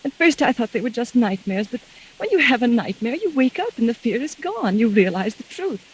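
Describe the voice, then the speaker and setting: A woman playing a frightened, suspicious wife in a 1940s radio drama, with an anxious, halting, insistent delivery. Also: a little high, very animated, slightly rough, low-quality recording. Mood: anxious confiding. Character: frightened, suspicious wife haunted by fears of murder. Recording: vintage 1940s radio recording.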